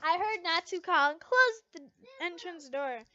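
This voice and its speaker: Young female amateur storyteller, high girlish pitch, expressive delivery with stumbles, muffled close home recording. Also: unhurried, no room sound. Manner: dramatic, stumbling and re-reading lines. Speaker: fan fiction reader.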